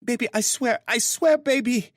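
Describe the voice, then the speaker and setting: A man voicing a young Puerto Rican woman from New York, a sharp-tongued house cleaner with a New York accent touched with Spanish, in a storybook reading. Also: extremely high pitch, normal speed, no room sound, clean studio sound, voice pushed up. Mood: pleading. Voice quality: attitude-laden, sharp-tongued.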